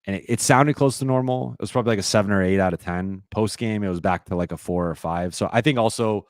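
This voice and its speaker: A man hosting a live-stream Q&A, talking casually and rambling with lots of fillers and restarts, his voice a little raspy. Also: lowish voice, fast, dry recording, studio quality. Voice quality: slightly raspy voice.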